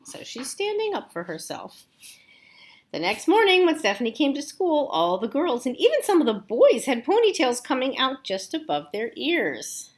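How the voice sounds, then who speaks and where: A woman's voice: high-pitched, wildly swooping pitch, normal speed. A woman teacher reading a storybook aloud expressively, with casual asides spoken directly to young listeners.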